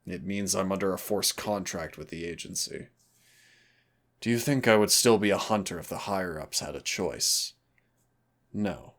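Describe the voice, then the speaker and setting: A man playing a teasing but stern demon hunter in an audio roleplay, speaking deliberately with dramatic pauses in a gravelly, husky voice. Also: low voice, a bit bright. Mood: grim, bitter. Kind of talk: audio roleplay acting, intimate second-person dialogue.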